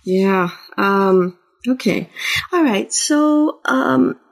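A woman's voice: mid-range voice, expressive, very slow. Woman hosting an academic podcast. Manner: friendly, with many ums and uhs. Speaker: podcast host. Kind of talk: interview hosting.

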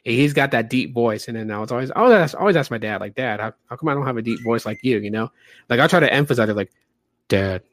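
A man's voice: medium pitch, animated, quick pace, no room sound. Casting: late-30s man with a light voice, laid-back podcast host, chatty and rambling, dry close sound. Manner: rambling, chatty, many fillers. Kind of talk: casual livestream podcast talk.

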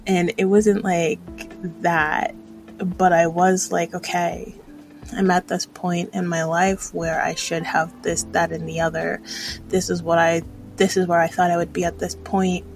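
A woman's voice: on the low side, flat delivery, a little slow, soft background music. A woman in her thirties chatting casually in a personal vlog, rambling and hesitant as she reflects on herself. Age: 30s. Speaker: vlogger.

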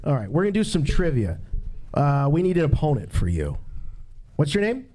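A man acts as quizmaster at an informal live show. His voice is fairly high for a man and swings widely in pitch as he addresses the room and explains the game. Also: huge pitch swings, a little fast, mellow. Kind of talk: informal live trivia hosting.

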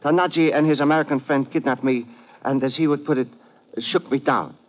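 An actor playing a smug, coldly menacing foreign doctor who schemes in formal, precise phrasing, in old-time radio drama style. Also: male voice, mid-range voice, a little fast, lightly acted. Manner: formal, precise, clipped wording with dropped articles. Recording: vintage muffled radio broadcast.

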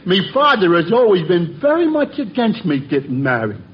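A high, dopey voice for a stubborn working-class New dimwit in a vintage radio comedy. The delivery is slangy and lively in pitch. It comes through muffled, with clear noise. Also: male voice, normal speed. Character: dim-witted, stubborn working-class pal. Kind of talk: 1940s-50s radio comedy acting.

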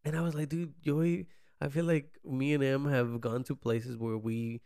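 A man chats casually and flips between languages as he retells a story. His voice has a gravelly fry, and the sound is dry and close. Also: mid-range voice, level tone, moderate pace, a little mellow, no echo. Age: late 20s. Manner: code-switching, repeats words, quotes others. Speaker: painter.

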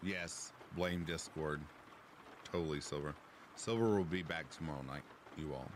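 A man with a deep, raspy voice hosting a livestream, chatting casually and answering comments from the live chat. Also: normal speed, background noise. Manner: relaxed, chatty, reads chat aloud. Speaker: livestream host.

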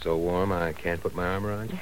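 A man's voice: low-pitched, fairly even intonation, a little fast, lightly acted. An actor playing a young man, a tender and teasing fiancé, in 1940s radio acting. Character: young man Steve, a gentle reassuring fiancé. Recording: old radio transcription with faint hiss.

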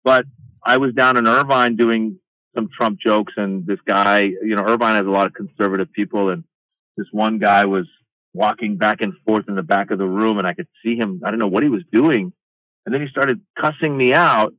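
A middle-aged man from Iran, a stand-up comedian, tells stories in a casual interview with an animated delivery. His voice comes over a tinny, phone-like line. The sound is dry, with no room in it. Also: fairly low pitch, normal speed, dry recording. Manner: animated, acts out quoted exchanges.

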